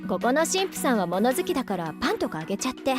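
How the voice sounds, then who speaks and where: A woman's voice: fairly high pitch, sing-song, racing, music in the background. An actress playing a chatty, playful young woman in a visual novel game, with a breezy, teasing, theatrical manner. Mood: gossipy, teasing.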